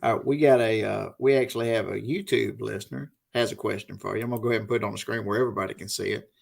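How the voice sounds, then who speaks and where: A middle-aged man from the Deep South with a Southern US accent, hosting a casual interview in an informal, folksy way. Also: fairly even intonation, rapid-fire, creaky. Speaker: host, interviewer.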